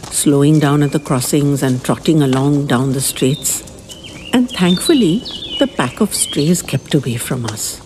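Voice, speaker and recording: A woman narrating a scripted first-person story in a gentle, reminiscing way. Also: on the low side, in a large hall, slight background noise.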